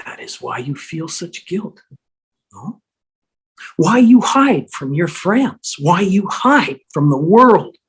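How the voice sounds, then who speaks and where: Slow, husky, menacing ghostly brother acted out. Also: medium pitch, sing-song, full character voice. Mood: accusing, menacing. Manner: slow, insinuating, taunting. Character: Billy, dead bloodied older brother, menacing, accusing apparition. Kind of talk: fan table read acting. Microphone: video call. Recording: video call.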